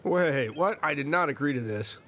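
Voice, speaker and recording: A man's voice: fairly high pitch, animated, normal speed, sharp tone. A man voicing a confused, nervous half-man half-emu assistant. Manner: anxious, baffled.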